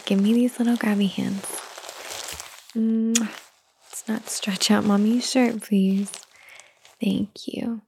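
A woman doing a whispered ASMR roleplay, talking softly and soothingly in a gentle whisper. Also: mid-range voice, creaky, slightly rough. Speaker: ASMR performer.

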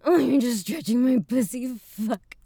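A woman playing an eager adult university student in an intimate audio roleplay, breathy and pleading. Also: on the high side, unhurried, dark tone, dry recording, studio quality. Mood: breathless pleading.

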